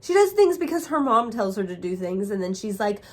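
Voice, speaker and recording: A young woman chatting excitedly to camera as a book vlogger, sounding a little nasal from a cold. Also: medium pitch, a little fast. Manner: excited, chatty, talks to viewers as friends. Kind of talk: casual chat to camera.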